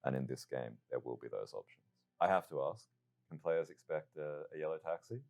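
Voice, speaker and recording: A man with a strikingly deep, bottomed-out voice presents an interview show. His delivery is measured and somewhat theatrical, like a prepared presenter putting questions to a guest. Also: vocal fry, darkish tone. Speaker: host interviewer of a game reveal show.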